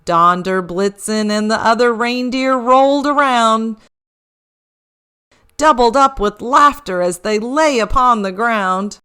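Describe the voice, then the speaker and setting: Woman, children's story reader, slightly high bright voice, expressive rhyming narration, singsong rhythm. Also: slow, smooth.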